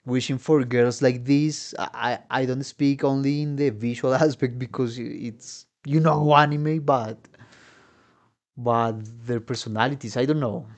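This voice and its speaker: A man's voice: medium pitch, steady tone, unhurried. A young man giving casual, slangy reaction commentary as a musician, exclaiming and thinking aloud. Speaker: musician reacting to game videos. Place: small home room with guitars. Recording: game music playing behind. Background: game trailer music.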